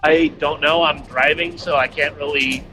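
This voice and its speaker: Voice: mid-range voice, fairly even intonation, moderate pace, over music. A friend chatting casually over a call while driving, giggly and rambling. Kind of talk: casual chat. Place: in a car, driving.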